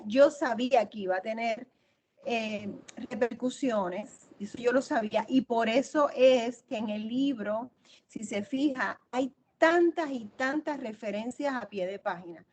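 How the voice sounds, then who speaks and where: High-voiced middle-aged woman from Cuba, emphatic. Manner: emphatic, repeats phrases for stress. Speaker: interviewed author and historian. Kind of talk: interview answers, explanatory account.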